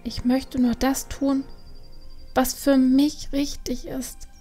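A woman voicing a grieving, restless young female panda, softly and hesitantly, in a dramatised audiobook. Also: sits high, level tone, slow pace, faint music behind. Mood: grieving, earnest. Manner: soft, hesitant, hushed and heartfelt.

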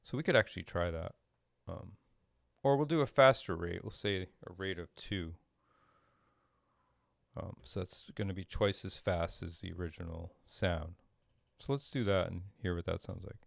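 A middle-aged man giving an informal tutorial at his desk, thinking aloud in a halting way as he explains. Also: deep voice, gravelly, no echo. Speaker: composer demonstrating audio programming. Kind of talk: informal screen-share tutorial. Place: small indoor room at a desk.

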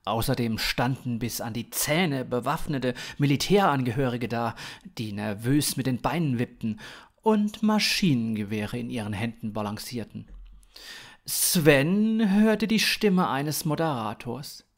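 Slow-paced man narrating a story. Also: mid-range voice, animated. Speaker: author-narrator of a podcast story. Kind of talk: scripted narration of a comic sci-fi story.

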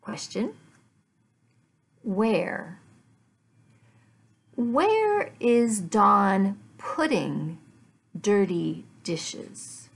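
A middle-aged woman gives a language lesson for learners. Her delivery is extremely slow and deliberate, broken into short phrases with clear pauses. Her mid-pitched voice often drops into a gravelly creak. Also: expressive. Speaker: English teacher. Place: small living room at home, indoors.

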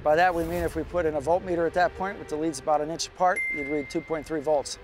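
A man's voice: fairly high pitch, fairly even intonation, quick pace. A man explaining technical details in an interview outdoors beside a canal. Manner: explanatory, matter-of-fact. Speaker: engineer interviewee. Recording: interview with music bed.

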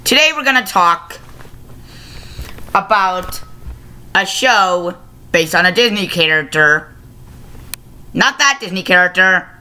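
A young adult giving a casual video review to camera, halting and often self-correcting, recorded on a webcam mic in a small room. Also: medium pitch, animated, sharp tone, a little hiss or hum. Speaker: review show host. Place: small plain-walled room indoors. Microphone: laptop webcam microphone at arm's length.

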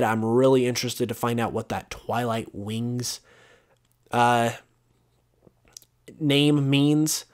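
A young man hosting a casual solo podcast, rambling with lots of fillers and losing his voice, clearing his throat now and then. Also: mid-range voice. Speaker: podcast host. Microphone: voice-over over gameplay footage.

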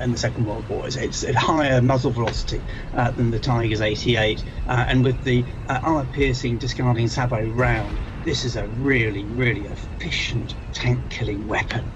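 British man lecturing emphatically, dull lo-fi recording. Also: medium pitch. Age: middle-aged. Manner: emphatic, storytelling delivery with rhetorical questions. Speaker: military history lecturer. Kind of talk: informal history lecture to camera. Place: small home office, indoors. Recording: dull lo-fi audio with some noise.

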